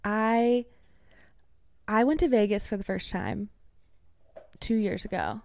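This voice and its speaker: A woman's voice: mid-range voice, big pitch swings, takes it slowly, vocal fry, darkish tone, no echo, studio quality. A young woman from East Tennessee, a podcast guest, ranting heatedly and swearing freely in casual conversation. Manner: heated, profanity-laced rants.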